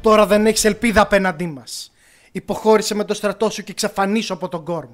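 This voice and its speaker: A man's voice: high voice, steady tone, soft background music, natural voice. A man voicing a determined young cartoon hero, the lord of light, speaking in an earnest, declamatory way. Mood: stern.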